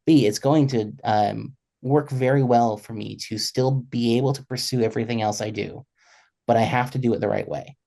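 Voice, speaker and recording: A man's voice: medium pitch, steady tone, normal speed, vocal fry, dark tone. A man in his twenties speaking as a panelist in a reflective conversation, earnest and often correcting himself as he goes. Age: 20s. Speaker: panelist, freelance musician.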